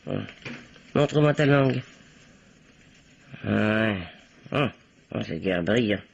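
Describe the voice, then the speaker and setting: An actress playing a bossy, sharp-tongued elderly spinster in a radio drama, with an imperious, scolding way of talking. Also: female voice, a bass voice, lively intonation, slow pace, gravelly, breathy edge, heavily acted. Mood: scolding, exasperated. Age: older. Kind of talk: old French radio drama acting. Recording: dull, band-limited vintage radio recording.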